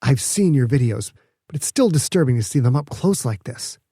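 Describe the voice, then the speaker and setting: A wary female governor in an audiobook, read by a male narrator. The voice is mellow and dry, uneasy and full of skeptical questions. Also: medium pitch, animated, moderate pace, husky, no room sound. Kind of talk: audiobook character dialogue.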